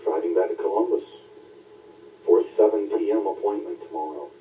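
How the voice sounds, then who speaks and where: A man calling in to a ham radio net, recounting things casually in a halting, weary, unhurried way over a radio transmission. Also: low voice, slight background noise. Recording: phone-like radio link, roomy, distant.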